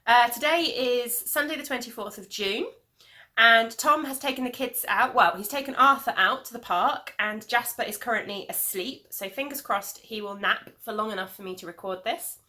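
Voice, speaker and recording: A woman in her thirties talks casually to the camera at home, rambling and filling pauses with ums. Her voice sits a bit high and rings bright and clear, with a slight sniffle from hay fever. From England. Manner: rambling, chatty, many ums. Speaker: knitting podcast host. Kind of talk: casual video podcast chat.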